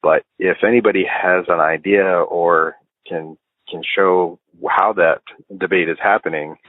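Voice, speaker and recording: An American man leaving a voicemail as a listener, giving informal political commentary in a measured, halting, deliberative way. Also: low-pitched, steady tone, no room sound. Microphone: phone. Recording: tinny band-limited phone voicemail line.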